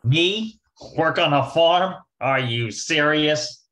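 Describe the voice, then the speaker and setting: Actor doing a scheming gambler brother, slangy, wheedling, medium pitch, radio play style. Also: expressive, takes it slowly, fairly bright tone. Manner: slangy wise-guy talk. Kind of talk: amateur old-time radio comedy-mystery acting.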